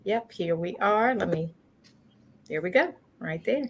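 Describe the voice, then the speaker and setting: Lady hosting a community faith program, chatty n encouraging, normal pitch, bit of noise behind her. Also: unhurried. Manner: encouraging, courteous. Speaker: host of a community religious program. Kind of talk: informal hosting and interviewing. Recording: steady background noise.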